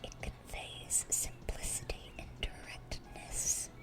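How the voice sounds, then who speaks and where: A woman playing a dorky, smitten young demon girl in a gentle, rambling, affectionate ASMR roleplay. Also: takes it slowly, loud music behind the voice, lightly acted, poor audio. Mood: earnest, enthusiastic.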